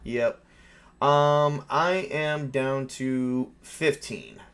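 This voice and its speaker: A man's voice: medium pitch, extremely slow, fairly bright tone, clear, resonant tone. A man narrating a tabletop horror game as game master in a casual, improvised and informal way. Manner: informal, improvising with mild swearing.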